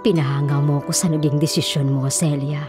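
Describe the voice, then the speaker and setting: An actress playing a caring older mother and grandmother in a Filipino radio drama, counselling in a deliberate, motherly way. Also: low voice, fairly even intonation, music in the background, natural voice. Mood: caring, approving.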